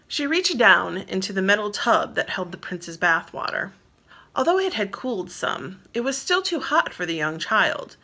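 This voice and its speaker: A woman's voice: medium pitch, sharp tone. A woman reading her own novel aloud as narrator, in scripted storybook style.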